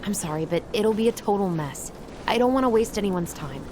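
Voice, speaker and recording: A woman's voice: medium pitch, expressive, brisk, background noise, lightly acted. An actress playing a guarded young American woman in a video game, with a hesitant, conversational way of talking. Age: young adult. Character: guarded young woman, loyal to her family, easily exasperated. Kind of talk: naturalistic video game dialogue.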